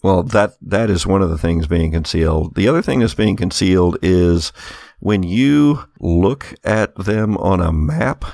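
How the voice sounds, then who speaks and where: A man improvising narration as a game master at a tabletop game, phrasing things in a halting, thoughtful way. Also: deep voice, expressive, gravelly, darkish tone, no room sound, studio quality.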